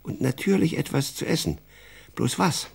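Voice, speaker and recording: A man narrating a children's radio play in an expressive, scripted storytelling style with a husky voice. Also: a little high, moderate pace.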